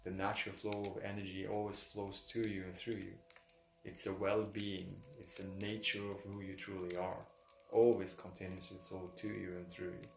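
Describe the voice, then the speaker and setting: Low-voiced man guiding a meditation, roomy echo. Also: gravelly, darkish tone. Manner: repetitive, halting phrasing in non-native English. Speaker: meditation guide. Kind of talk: guided meditation. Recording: roomy echo, music behind. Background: music.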